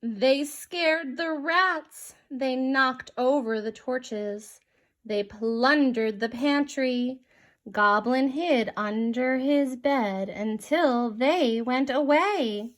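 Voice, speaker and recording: A woman reading a children's picture book aloud in an expressive narrating style. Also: sits high, drawn-out words. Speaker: storybook reader.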